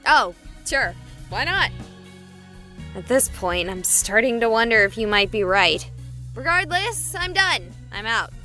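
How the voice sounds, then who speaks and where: A woman's voice: on the high side, very animated, measured pace, sharp tone, soft background music, heavily acted. An actress voicing a skeptical, exasperated young female game streamer in a visual novel.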